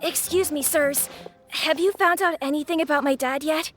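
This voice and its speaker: A worried young village boy, played by a woman, earnest and polite in a video game. His voice sits high. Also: normal speed, over music, natural voice. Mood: anxious.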